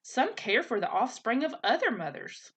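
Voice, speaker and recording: Woman playing a calm, formal robot mother. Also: on the high side, slightly rough, pitched above the performer's own voice, heavily acted. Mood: gentle, steady. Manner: formal, measured speech with few contractions. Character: calm female robot mother, patient, protective, literal-minded.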